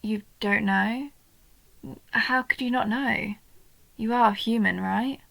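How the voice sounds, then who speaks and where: A woman voicing a young female goblin in a roleplay audio, a nerdy, sweet and curious bookworm who talks earnestly and eagerly, sometimes softly breathy. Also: fairly high pitch, takes it slowly, dry recording, exaggerated voice. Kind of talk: roleplay audio, intimate one-sided scripted dialogue.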